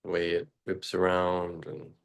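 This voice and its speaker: Male meditation teacher, very low and level voice, unhurried halting delivery with long pauses. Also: vocal fry. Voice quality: very low, flat voice. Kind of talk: guided meditation.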